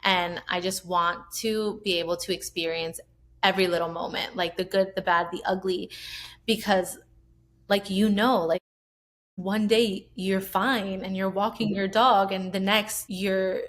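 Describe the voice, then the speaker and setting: A woman hosting a podcast interview in a warm, affirming, reflective way. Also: medium pitch, flat delivery, a little slow. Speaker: podcast host. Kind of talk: conversational interview.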